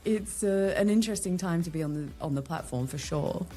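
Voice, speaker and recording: A woman's voice: lowish voice, a little fast, dark tone, soft background music. An Australian woman, a guest on a podcast interview, talking candidly and chattily with wry asides. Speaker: guest, LinkedIn strategist.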